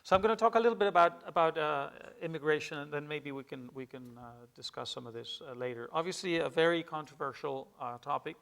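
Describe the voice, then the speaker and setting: A middle-aged man from Peru, a native Spanish speaker, giving a public lecture in a measured, hesitant way with many fillers and restarts. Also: a little high, vocal fry, a bit bright. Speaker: guest lecturer. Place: lecture hall with an audience.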